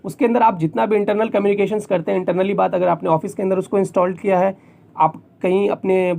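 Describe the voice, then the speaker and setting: A man's voice: sits high, steady tone, fast, vocal fry, darkish tone. A man with an Indian accent presenting a tech tutorial, explaining things step by step and often repeating points for clarity. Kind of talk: explanatory tutorial, semi-scripted.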